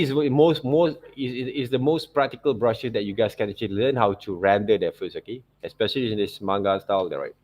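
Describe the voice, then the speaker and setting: A man giving an unscripted step-by-step tutorial as an art teacher, halting and repetitive, often checking in with short tag words. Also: mid-range voice. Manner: halting, repetitive phrasing with frequent "okay, right, see" checks. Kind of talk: unscripted step-by-step drawing demonstration.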